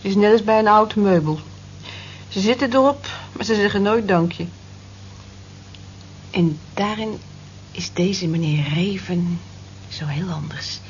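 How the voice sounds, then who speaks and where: A woman's voice: medium pitch, a little slow, long pauses, lightly acted. An actress in a radio drama playing a weary, discontented woman in her forties, reflective and plaintive. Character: weary, wistful working woman of forty-four, discontented. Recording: dull lo-fi old radio recording, hiss.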